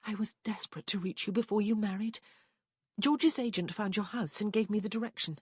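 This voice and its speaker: A woman's voice: mid-range voice, a little fast, breathy edge, no echo, clean studio sound, pitched above the performer's own voice, lightly acted. A woman voicing an anxious young lady in love who speaks tenderly and breathily. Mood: confiding.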